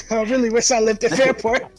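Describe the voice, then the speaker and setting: A man's voice: very high-pitched, level tone, normal speed. A man chatting casually with friends in a slangy, joking way. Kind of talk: casual chat. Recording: music playing behind the voice. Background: background music.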